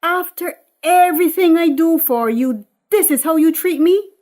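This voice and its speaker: A woman's voice: very high voice, unhurried, warm tone, pitched above the performer's own voice. A woman voicing a stern, wounded older mother, scolding and indignant, in a dramatic script reading.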